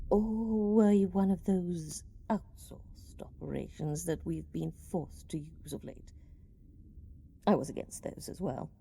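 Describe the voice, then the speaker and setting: A woman's voice: medium pitch, warm tone, a little hiss or hum. A woman playing a stern, haughty senior company employee in a radio play, formal and scolding. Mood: accusing. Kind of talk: radio play monologue acting.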